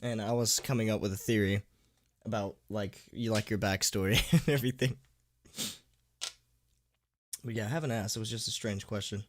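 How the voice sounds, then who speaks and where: A man's voice: fairly low pitch, normal speed, long pauses. A man hosting a casual livestream chat in slangy, informal talk, sick with a sore throat and tired. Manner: informal slangy young-streamer talk with frequent "dude" and "man". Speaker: livestream host and horror story narrator. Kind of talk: casual livestream chat, reading and answering viewers.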